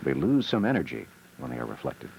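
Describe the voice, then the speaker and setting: A man narrating an educational film in a measured, explanatory manner, reading scripted short, simple sentences. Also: extremely low pitch, sing-song, creaky, darkish tone, slight background noise, poor audio. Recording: old VHS educational film soundtrack. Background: music.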